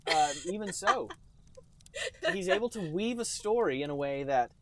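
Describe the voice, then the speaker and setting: A man's voice: on the high side, expressive, normal speed. A man hosting a podcast in casual, sarcastic comedic banter, joking in a hammy way. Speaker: podcast host.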